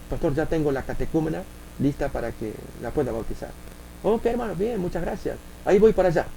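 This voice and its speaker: A man with an Argentine accent, a pastor preaching a sermon and telling stories, with many pauses and filler sounds. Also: a little high, gravelly, mellow, slight background noise, poor audio. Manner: many filler pauses, acts out quoted voices. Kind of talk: sermon with spoken anecdotes.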